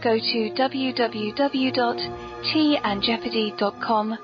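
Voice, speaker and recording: A woman's voice: fairly even intonation, slow, music in the background. A British woman hosting a whimsical scripted comedy podcast in a genteel, very polite, playful manner. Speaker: podcast host. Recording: muffled, noisy low-quality podcast audio.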